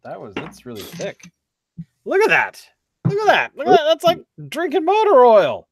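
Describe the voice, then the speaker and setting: A man hosting a beer review podcast in casual chat, excitable and joking, often trailing off mid-sentence. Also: very high voice, normal speed, no echo.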